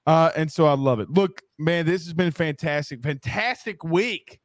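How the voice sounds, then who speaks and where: A man hosting a sports podcast, interviewing in a casual, animated and very informal way. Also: a little high, normal speed, dry recording, clean studio sound. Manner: animated, informal, peppers talk with "you know, like".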